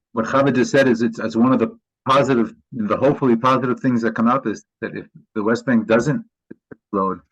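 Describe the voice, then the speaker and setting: Man, panel moderator, husky, lowish, thoughtful, dull call audio.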